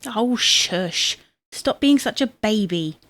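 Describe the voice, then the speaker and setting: A woman playing a brisk, sarcastic, bossy but caring wife in an audio comedy drama, with a dry, chiding manner. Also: mid-range voice, animated, a bit bright, dry recording.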